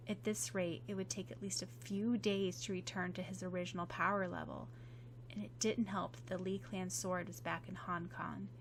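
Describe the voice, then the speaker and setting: A woman narrating a fan-fiction audiobook in a measured, expressive reading voice. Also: mid-range voice, slight background noise.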